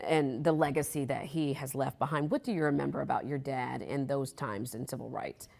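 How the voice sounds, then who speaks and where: A woman's voice: extremely low pitch, fairly even intonation, a little fast, gravelly, darkish tone. An American woman hosting a morning news show, conducting a broadcast interview.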